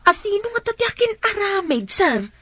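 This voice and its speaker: A woman's voice: extremely high pitch, big pitch swings, moderate pace, a bit bright, heavily acted. A woman acting as an insistent, alarmed member of the household staff in a radio drama, with an Ilocano accent. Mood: concerned.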